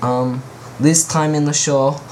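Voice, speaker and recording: A man's voice: on the high side, fairly even intonation, slow pace, darkish tone, slight background noise, rough recording. A young man hosting a podcast in casual chat, rambling and joking at his own expense. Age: teens. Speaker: podcast host.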